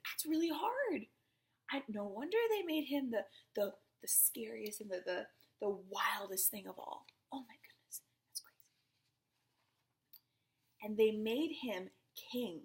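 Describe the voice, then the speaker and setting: A young woman with a high-pitched voice reads a storybook to little children. Her tone is breathy with a crackly fry, often sinking toward a whisper. She breaks off for encouraging exclamations and easy questions. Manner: encouraging and exclamatory, with repeated praise and simple questions. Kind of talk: picture-book read-aloud with chatty asides and questions to children.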